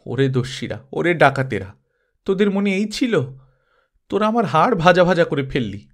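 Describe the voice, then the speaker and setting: A man's voice: fairly high pitch, big pitch swings, moderate pace, pitched above the performer's own voice. A man voicing a scolding, doting old village grandmother in an emotional, tearful manner for a story. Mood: wailing, scolding. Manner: loud, emotional scolding wail.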